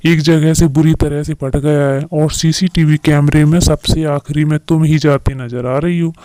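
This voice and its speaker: A man plays a stern cartoon showroom manager who scolds and lectures. His voice is fairly high for a man and mellow in tone. It is recorded dry and close. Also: no room sound, lightly acted. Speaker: voice actor in a cartoon story. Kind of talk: Hindi moral-story cartoon dubbing.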